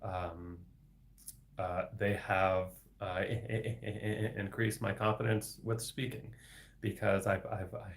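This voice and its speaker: Low gravelly man with a heavy stutter. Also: little pitch movement, slow. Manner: heavy stutter with repetitions and blocks, frequent soft laughs. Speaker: livestream host reading viewer questions. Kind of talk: casual solo livestream chat.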